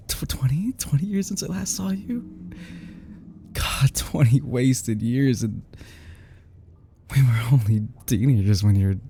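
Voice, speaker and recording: A man playing an obsessive, lovesick kidnapper in an intimate audio roleplay, desperate and unstable, speaking haltingly with stammers and drops toward a whisper. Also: mid-range voice, warm tone, slight background noise. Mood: tearfully tender. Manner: halting, stammering, breathy nervous chuckles, often dropping to whispers.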